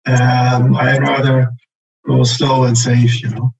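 Podcast guest: mature man, gravelly and husky, hesitant conversational delivery. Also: mid-range voice, level tone, slow, muffled recording. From northern Germany. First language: German. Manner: hesitant, often searching for words. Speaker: podcast guest, business development manager. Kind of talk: casual interview chat.

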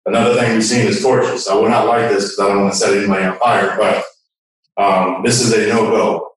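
Low-voiced man demonstrating in echoey room. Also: fairly even intonation, brisk. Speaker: technical demonstrator. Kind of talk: hands-on technical demonstration, conversational. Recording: echoey room, distant microphone.

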